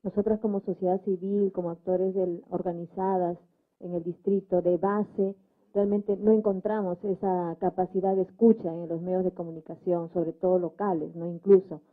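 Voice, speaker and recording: A woman's voice: on the low side, level tone, normal speed. A woman community organiser speaking spontaneously at a debate, earnest and emphatic, with repetitions. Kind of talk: spontaneous testimony at a public debate. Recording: narrow, phone-like debate recording.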